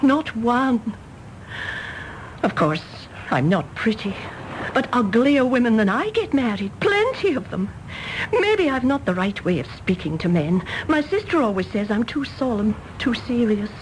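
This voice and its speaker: A woman's voice: a little high, sing-song, unhurried, a little hiss or hum, low-quality recording. An actress playing a solemn, lonely governess in her thirties in a radio drama, earnest and intense. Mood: bitter, hurt. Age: 30s. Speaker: actor. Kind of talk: British radio drama acting.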